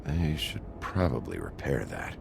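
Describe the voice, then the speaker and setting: Gruff, terse American lawman, game drama. Extremely low, very gravelly, dry delivery over music. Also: very animated, unhurried, noisy background, full character voice. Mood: gruff, probing. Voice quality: very deep, gravelly. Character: gruff, weary male lawman investigating a murder. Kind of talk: video game voice acting. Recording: music behind the voice.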